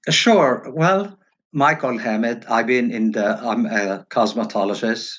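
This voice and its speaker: A middle-aged man from Turkey answering interview questions casually as a guest, in halting, broken phrases. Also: medium pitch, fairly bright tone. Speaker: podcast guest, hairstylist. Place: virtual call.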